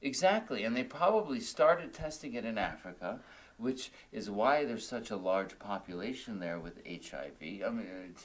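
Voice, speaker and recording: An actor playing a drunk, grieving widower who rambles and stammers bitterly in a film drama. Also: male voice, lowish voice, animated, normal speed, lightly acted. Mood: ranting, conspiratorial. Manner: rambling, combative, stammering venting. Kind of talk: naturalistic film drama dialogue.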